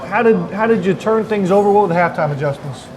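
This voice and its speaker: High-pitched male sports host, crowd behind. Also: fast talker. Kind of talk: live sports interview. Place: busy restaurant eatery. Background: crowd chatter.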